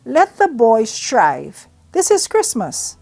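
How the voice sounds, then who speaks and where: A woman's voice: fairly high pitch, huge pitch swings, slow, creaky, darkish tone, no echo, heavily acted. A woman voicing an indulgent father who speaks emphatic dialect, reading from a novel. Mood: indulgent, protesting. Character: indulgent, amused Caribbean father defending his son.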